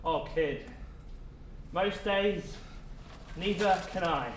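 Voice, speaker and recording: A man acting a weary, philosophical afterlife official on stage, speaking in measured, cryptic lines. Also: sits high. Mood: wry, resigned. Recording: echoey stage, distant mic, faint noise.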